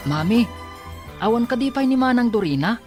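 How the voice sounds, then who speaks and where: A young man with an Ilocano accent playing a caring son who speaks softly and with concern. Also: very high-pitched, expressive, a little fast, loud music behind the voice. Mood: earnest. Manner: soft, concerned. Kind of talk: Ilocano audio drama.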